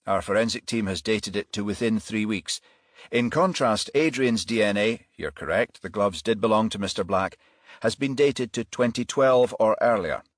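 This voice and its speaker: A man voicing a stern, methodical police detective in an audiobook, formal and measured as he presses his questions. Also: fairly low pitch, dry recording, studio quality, close to an everyday voice. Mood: formal, pressing.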